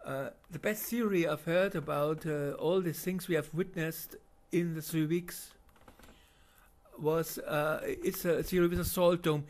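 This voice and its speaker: A man with a German accent giving unscripted voice-over commentary on video footage, halting with many fillers and restarts. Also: on the high side, steady tone, a little mellow.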